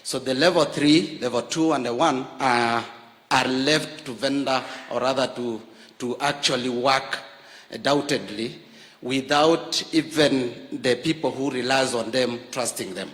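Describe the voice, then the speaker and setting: A Kenyan man giving a formal public speech to political leaders, measured, with frequent fillers, rising to stress key points. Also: mid-range voice, a bit bright. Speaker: health worker leader addressing a political forum. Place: large university hall. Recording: reverberant hall.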